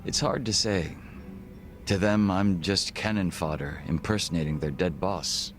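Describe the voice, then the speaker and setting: An actor playing a composed, formal gangster second-in-command in a video game cutscene, measured and reserved. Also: male voice, deep voice, steady tone, soft background music. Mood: dryly composed.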